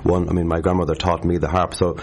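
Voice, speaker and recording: Fast-talking deep-voiced man. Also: slight background noise. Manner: animated, rambling, many restarts. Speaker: harpist, interview guest. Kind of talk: casual interview chat.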